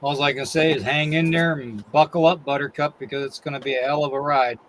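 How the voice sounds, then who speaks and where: A man's voice: a little high, fairly even intonation, fairly quick, fairly bright tone, slight background noise. Man hosting a live podcast, voice going. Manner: reads a long disclaimer, then greets chat viewers one by one. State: losing his voice. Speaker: podcast host. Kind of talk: live-stream podcast chat.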